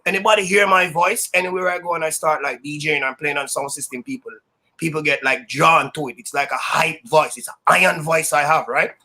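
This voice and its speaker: A Jamaican man tells his stories loudly and with a lot of energy, slipping into patois and bragging freely. His voice is high for a man and has a sharp, bright edge. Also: fairly even intonation, brisk. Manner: loud, high-energy, boastful, patois-laced storytelling. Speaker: sound system DJ, interview guest. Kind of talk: casual storytelling interview.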